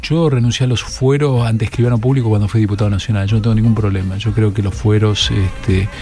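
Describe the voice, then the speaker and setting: A middle-aged man with an Argentine Spanish accent, answering interview questions as a political candidate and pausing often on fillers and restarts. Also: lowish voice, fairly even intonation, dark tone, breathy edge, soft background music. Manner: measured, with many fillers and restarts.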